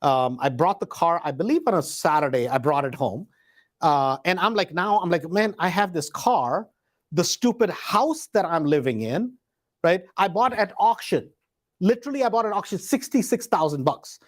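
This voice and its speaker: A man with a high-pitched voice spins a personal anecdote in a casual, conversational style. He leans on emphatic repetition and slang, and acts out the back-and-forth dialogue as he goes. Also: moderate pace. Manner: animated retelling with acted dialogue, emphatic repetition. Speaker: host.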